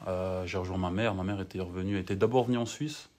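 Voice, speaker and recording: A young man from Cameroon, an ice hockey player, chatting as a guest in a relaxed way and often adding "tu vois". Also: sits low, quick pace. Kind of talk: casual interview chat.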